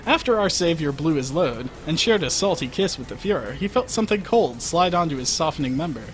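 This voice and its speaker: A man's voice: fairly high pitch, animated, brisk. A man reading comic fiction aloud on a livestream, wry in delivery and given to breaking off for asides. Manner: wry, breaks off to comment on the text. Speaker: story reader. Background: faint music.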